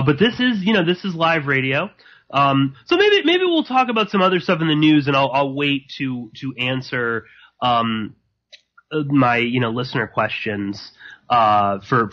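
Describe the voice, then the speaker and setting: A man's voice: medium pitch, lively intonation, a bit bright. Rambling man with a sore throat. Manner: rambling, halting, many fillers and restarts. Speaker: podcast host. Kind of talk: live radio monologue. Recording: dull lo-fi.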